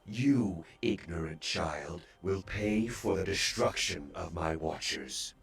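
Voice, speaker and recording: Super deep creaky robot villain, slow and menacing, game cutscene w music behind. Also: male voice, exaggerated voice. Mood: vengeful. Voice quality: slow gravelly menacing rumble. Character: menacing ancient male robot overlord. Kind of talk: video game cutscene acting.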